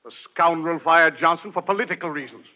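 An actor playing a pompous, wheedling, tightfisted uncle full of bluff in a 1940s radio sitcom. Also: male voice, fairly high pitch, brisk, lightly acted. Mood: indignant. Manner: pompous, stammering when cornered. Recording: muffled 1940s radio recording.

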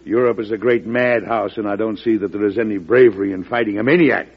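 An actor playing a resolute, dignified president in an old-time radio drama, speaking in a formal, declamatory and emphatic way. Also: male voice, fairly low pitch, quick pace, slightly rough. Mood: indignant, philosophical. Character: resolute, dignified male president late in his second term. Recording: dull vintage radio recording.

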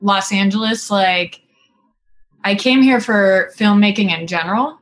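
A woman's voice: medium pitch, a little slow, fairly bright tone. A woman in her thirties from Singapore, a film director chatting casually as an interview guest, talking in long runs packed with "like" and "you know" and laughing easily.

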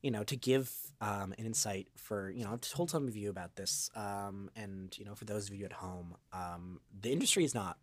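A man speaking as a guest voice actor in a reflective interview, earnest and rambling, often halting as he finds his words. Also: on the low side, no room sound.

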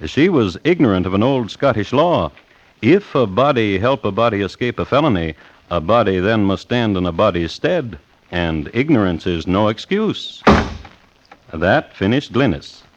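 Wry crime-story narrator, low voice, animated intonation, measured delivery, muffled vintage radio. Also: male voice, dry recording. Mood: wry, deliberate. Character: storytelling true-crime host, wry and deliberate. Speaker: host and narrator. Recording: muffled old radio recording.

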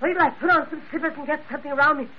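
An actress playing a brassy, needy older saloon keeper in an old radio drama, folksy and familiar. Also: female voice, high-pitched, steady tone, very fast, lightly acted. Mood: alarmed. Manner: folksy, familiar, calls people honey. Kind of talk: 1940s-50s radio drama acting. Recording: dull, slightly noisy vintage radio recording.

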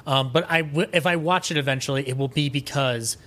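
Man, average pitch, casual podcast chat, animated, rambling, enthusiastic. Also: a little fast. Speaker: podcast guest.

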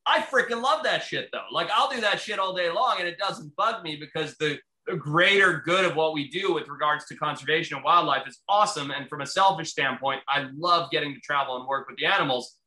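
A man with a sharp, forceful voice, pitched a little high, talks about his fieldwork with blunt, energetic enthusiasm. He curses freely and keeps up a brisk, easygoing flow. The sound is roomy and echoey. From Zimbabwe. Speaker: guest wildlife biologist. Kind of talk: casual podcast chat. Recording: roomy echo.

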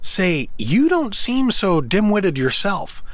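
A man's voice: sits high, big pitch swings, slow pace, fairly bright tone, no room sound, exaggerated voice. A man playing an affable, flustered suburban husband stranded in a fantasy world, talking in a casual, jokey, hesitant way in a comedic audio drama. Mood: curious, earnest.

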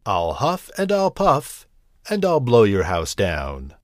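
Growling storybook wolf put on by a man, greedy, swooping lively delivery, dry close sound. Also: on the low side, takes it slowly, no echo, exaggerated voice. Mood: menacing. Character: big bad hungry male wolf.

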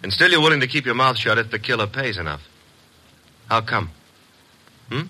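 An actor playing a cocky, wisecracking detective's assistant in 1950s radio style, wry, slangy and needling. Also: male voice, mid-range voice, animated, normal speed, bright, forceful tone. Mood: pressing, insinuating.

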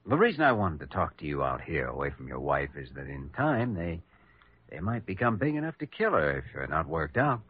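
Deep-voiced, earnest young doctor. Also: male voice, very animated, slightly rough, dry recording, exaggerated voice. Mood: earnest, tactful. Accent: American. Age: young adult. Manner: measured, hesitant, reasoning. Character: earnest, patient young male hospital doctor, quietly firm. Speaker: actor in a radio drama. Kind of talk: classic American radio acting. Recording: muffled old radio recording.

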